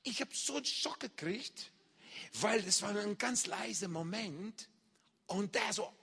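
Lively, slow-spoken man preaching in an echoing hall. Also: high-pitched, creaky, husky. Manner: animated, acts out shouts and reactions; speaks German with non-native grammar. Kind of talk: sermon testimony, storytelling.

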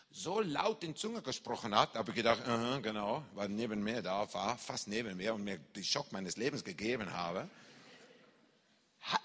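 A man preaching and telling a story from his own life, acting out shouts and reactions, speaking German with non-native grammar. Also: a little high, breathy edge, big-room sound. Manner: animated, acts out shouts and reactions. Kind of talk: sermon testimony, storytelling.

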